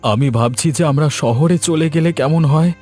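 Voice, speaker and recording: A man voicing a poor, gentle fisherman father in a cartoon story, speaking in an earnest, plaintive way. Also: a little high, normal speed, soft background music, close to an everyday voice. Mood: resigned, soothing.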